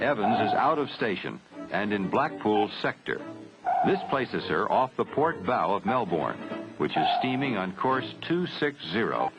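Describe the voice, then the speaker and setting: A man reading scripted training film narration in a formal, measured, matter-of-fact way. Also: medium pitch. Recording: dull 1970s film soundtrack.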